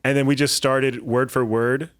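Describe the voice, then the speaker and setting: A man's voice: medium pitch, flat delivery, normal speed, clear, resonant tone. Rambling man telling stories on a podcast. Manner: rambling, loose storytelling with many fillers. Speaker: guest comedian. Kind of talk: casual podcast chat.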